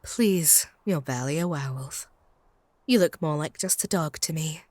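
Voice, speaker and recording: A woman's voice: low-pitched, moderate pace, darkish tone, no room sound. A woman playing a possessive, haughty female vampire in a comedic roleplay audio drama, taunting and doting in a theatrical way. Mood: mocking.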